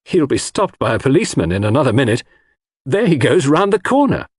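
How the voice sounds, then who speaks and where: A male reader plays a detective's gentleman companion in an audiobook mystery. He is earnest and easily baffled, exclaiming with very mobile pitch. The voice has a slightly husky grain. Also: sing-song, a little fast, dry recording, clean studio sound.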